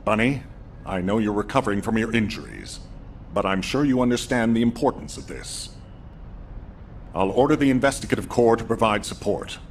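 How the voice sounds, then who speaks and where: An actor playing a stern, wary middle-aged commander in a video game, measured and authoritative. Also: male voice, on the low side, lively intonation, a little hiss or hum. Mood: commanding. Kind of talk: scripted video game acting.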